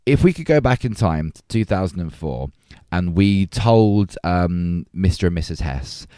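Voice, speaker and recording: A man's voice: low-pitched, lively intonation, a little slow, mellow, clear, resonant tone, no echo, studio quality. A man hosting a podcast, arguing his points emphatically in a conversational film debate. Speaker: podcast host.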